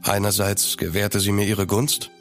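Man acting an older french marquis telling a tale, courtly n grave, lowish voice, music under it. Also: little pitch movement, lightly acted. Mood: ominous, reminiscing. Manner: courtly, measured, formal. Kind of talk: German audio drama acting.

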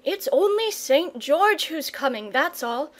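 Woman doing an excitable young boy. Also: high-pitched, a little slow, pitched above the performer's own voice. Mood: teasing. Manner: excited, breathless urgency.

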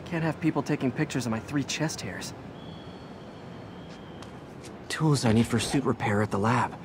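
A man's voice: medium pitch, brisk, background noise, natural voice. A man with an American accent playing a young, eager, nervy masked superhero in a video game, quippy and mock-dramatic. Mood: musing. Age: young adult. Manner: quippy, mock-dramatic, stammers when flustered.

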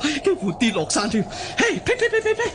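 An actor playing a pompous, clumsy army general in a radio drama, bellowing and whining with self-importance. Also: male voice, very high-pitched, wildly swooping pitch, fast talker, heavily acted. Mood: flustered. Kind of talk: Cantonese radio drama acting. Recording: clearly noisy radio drama soundtrack.

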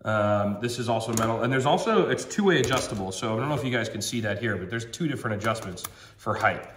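A man's voice: on the low side, animated, quick pace, echoey room, a little hiss or hum. A man in his thirties with a slightly nasal voice from a cold, reviewing a product in a chatty, upbeat way at a table at home. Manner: chatty, upbeat, thinks aloud with ums.

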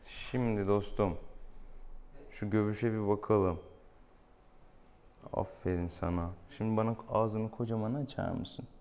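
A man's voice: on the low side, fairly even intonation, measured pace, long pauses, a little mellow. A man, a vet chatting casually and playfully, affectionately teasing an animal patient in a small exam room. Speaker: veterinarian presenting a clinic video. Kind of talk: casual playful chat with a pet and viewers. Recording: clear room noise behind.

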